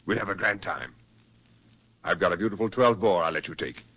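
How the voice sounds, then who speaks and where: A man acting a brisk, persuasive military psychiatrist with genteel, insistent delivery in a 1940s radio drama. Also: low-pitched, slightly rough. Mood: hearty, coaxing. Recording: muffled 1940s radio recording.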